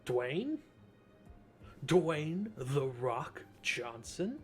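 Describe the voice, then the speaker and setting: A man's voice: on the high side, very animated, extremely slow, darkish tone, faint music behind. A man giving casual gameplay commentary as a streamer, with wry asides. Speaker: game streamer.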